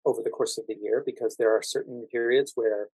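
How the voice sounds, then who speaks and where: American man, measured guest, very dry recording. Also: medium pitch, fairly even intonation, darkish tone, slightly rough, no room sound, poor audio. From United States. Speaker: podcast guest, actor working as a standardized patient. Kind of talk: thoughtful interview conversation.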